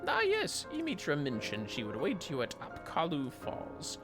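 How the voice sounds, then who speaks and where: A man's voice: on the high side, huge pitch swings, moderate pace, pitched above the performer's own voice. A man voicing a gracious fantasy game quest-giver who speaks formally and courteously. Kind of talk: reading game dialogue in character. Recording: music behind the voice.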